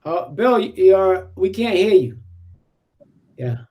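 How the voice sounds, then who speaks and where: A man with a high voice hosts a community gathering on a video call. He keeps things loose and upbeat, joking his way through a guest's audio trouble. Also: animated, slow pace, darkish tone. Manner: upbeat, joking while fixing tech trouble. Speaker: host of an online community show. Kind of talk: casual video-call hosting.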